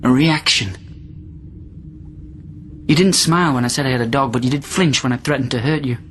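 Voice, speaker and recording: A man's voice: medium pitch, fairly quick, fairly bright tone, lightly acted. Actor as volatile male captive amid noisy ambience. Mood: intense, accusing. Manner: probing, rambling, swinging between tender and menacing. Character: volatile male captive from centuries past, pleading and goading. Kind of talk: radio drama acting.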